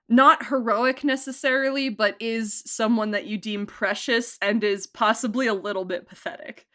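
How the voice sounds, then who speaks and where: A woman producer and director giving a casual interview, animated and laughing often as she gushes about the work. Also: on the high side.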